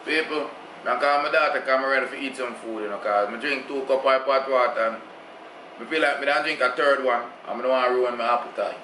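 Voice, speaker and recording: A man's voice: mid-range voice, fairly even intonation, a little fast, sharp tone, slight background noise. Chatty Jamaican man in an echoey kitchen. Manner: chatty, preachy patois asides. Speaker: cooking channel host. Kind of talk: casual cooking vlog. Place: home kitchen, indoors. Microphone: camera on the counter.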